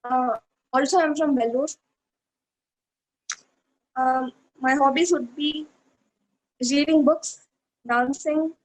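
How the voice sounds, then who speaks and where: A woman's voice: sits high, fairly even intonation, takes it slowly, pauses often, low-quality recording. A woman giving a slightly hesitant student self-introduction.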